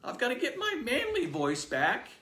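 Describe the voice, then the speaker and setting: Expressive male storyteller, echoey room. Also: very high-pitched, normal speed, slight background noise. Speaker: author reading his book. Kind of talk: storybook narration.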